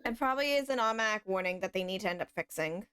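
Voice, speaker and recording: A woman's voice: medium pitch, expressive, racing, heavy background noise. A woman co-playing a game and chatting casually, with a playfully dramatic manner. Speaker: gaming streamer's co-player. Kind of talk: casual chat while gaming. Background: faint game music.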